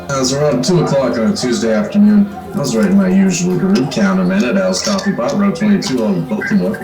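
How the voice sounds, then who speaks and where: A man's voice: fairly high pitch, expressive, a little fast. A man acting a wary, streetwise seventeen-year-old diner counterman in an old-time radio drama, with folksy, slangy talk. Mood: easygoing narrating. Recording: roomy echo, music underneath.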